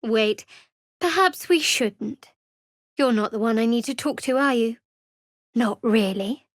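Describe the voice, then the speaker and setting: A woman voicing a guarded, dry-witted young female cleric of a dark goddess in a fantasy video game, with a sardonic way of talking. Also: fairly high pitch, measured pace, no echo, lightly acted. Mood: wry, measured.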